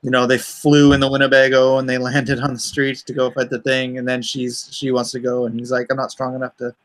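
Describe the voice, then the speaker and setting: A man hosting a livestream, chatting casually with informal, unhurried asides. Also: medium pitch, monotone, fairly bright tone. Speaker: comic artist hosting a livestream.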